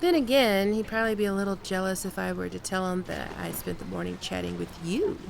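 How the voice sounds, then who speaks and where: A woman's voice: medium pitch, fairly even intonation, vocal fry, noisy background. A woman playing a flirtatious, playful holidaymaker in an ASMR roleplay, talking in a coy, teasing, conversational way. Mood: amused, coy. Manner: coy, teasing, giggly, unhurried with pauses.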